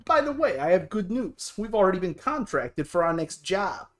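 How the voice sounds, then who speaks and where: A man's voice: high voice, a little fast, roomy sound, soft background music, lightly acted. A man voicing a polite, grateful theatrical performer in a game, speaking in a formal, courteous way. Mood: pleased.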